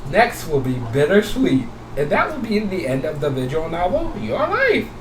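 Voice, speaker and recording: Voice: medium pitch, wildly swooping pitch, normal speed. A gaming video host giving casual commentary and a sign-off, gushing and repeating phrases over and over. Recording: noisy, echoey room, distant mic.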